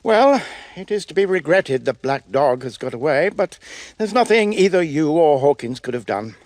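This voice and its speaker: An actor playing a fair-minded, well-bred gentleman in a dramatised audio adventure. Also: fairly high pitch, big pitch swings, normal speed, no room sound. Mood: reassuring.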